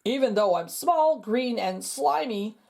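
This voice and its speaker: A woman's voice: a little high, animated, measured pace, gravelly, darkish tone, exaggerated voice. A woman doing a polite, proper male principal. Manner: polite and formal.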